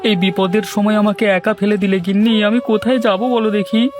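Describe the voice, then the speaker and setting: A man's voice: high voice, flat delivery, fairly quick, music in the background, close to an everyday voice. Man acting a whining, pleading village servant. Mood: dejected pleading. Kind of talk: Bengali cartoon dialogue.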